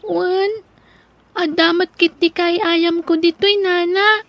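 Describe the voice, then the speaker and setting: A woman's voice: extremely high pitch, steady tone, slow, fairly bright tone, no room sound, exaggerated voice. A woman playing a timid, mistreated little girl in a radio drama, with an Ilocano Filipino accent. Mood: sad, relieved.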